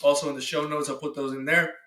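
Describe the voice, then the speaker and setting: A man's voice: on the high side, flat delivery, fast talker, sharp tone. A man hosting a podcast in a casual, informal and appreciative way. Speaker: podcast host, father. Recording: roomy, echoing sound.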